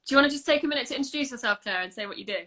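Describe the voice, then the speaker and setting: Bright, chatty woman. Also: high voice, lively intonation, racing. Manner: chatty and upbeat, full of everyday British phrases. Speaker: host of an Instagram live. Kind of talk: casual video chat. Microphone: phone or laptop for a livestream.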